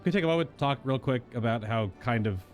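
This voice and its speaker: A male streamer chats casually over game music in a medium-pitched voice with very lively intonation. He is playful and informal, taking his time as he comments. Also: fast talker. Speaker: live-streamer.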